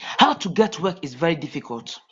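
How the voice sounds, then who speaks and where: A man from Ghana with a Ghanaian accent, talking as a guest in a casual call-in interview, halting and repeating his words. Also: a little high, sing-song, fairly bright tone, breathy edge. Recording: muffled lo-fi call audio.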